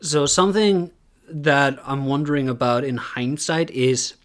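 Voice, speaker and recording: A man's voice: mid-range voice, a little slow, fairly bright tone. A man with an Icelandic accent giving wry, musing asides while he streams a conference talk. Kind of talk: casual commentary.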